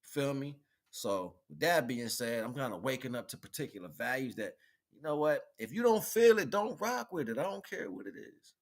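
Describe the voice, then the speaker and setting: A man giving a rambling, informal spiritual monologue, preaching in an animated way with repeated phrases and slangy check-ins with his listeners. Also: mid-range voice, normal speed. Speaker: spirituality vlogger, livestream host.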